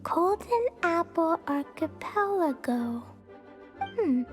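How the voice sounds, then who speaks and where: Tiny earnest little girl for a video game, super high voice, slow childlike talk, music behind. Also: sing-song, full character voice. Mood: innocent, puzzled. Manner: childlike, refers to herself by name, hesitant reading. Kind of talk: video game character voice acting.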